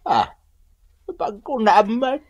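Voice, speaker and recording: A man's voice: high-pitched, expressive, slow, no room sound, studio quality, exaggerated voice. A man with a Filipino accent playing a patient, concerned middle-aged husband and father in a radio drama. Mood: concerned, consoling. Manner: concerned, questioning. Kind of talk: Ilocano radio drama acting.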